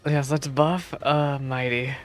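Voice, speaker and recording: Casting: mid-pitched game streamer, unhurried, exclamatory casual reactions, game music behind. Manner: expressive, exclamatory. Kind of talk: casual live gaming commentary. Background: video game music.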